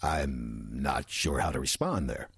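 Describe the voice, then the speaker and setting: An actor playing a folksy demon posing as a church usher in an audio drama, husky-voiced, evasive and slyly deflecting. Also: male voice, extremely low pitch, lively intonation, a little slow, fairly bright tone, no echo, studio quality, heavily acted. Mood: guarded, evasive.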